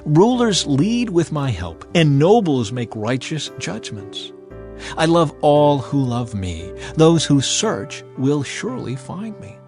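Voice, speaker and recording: A man's voice: a little high, sing-song, slow pace, darkish tone, heavily acted. A man voicing Wisdom, an ancient, commanding female spirit, in an earnest, declamatory dramatized scripture reading. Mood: proud, exalted. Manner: declamatory, proclaiming to a crowd. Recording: music behind the voice. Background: music.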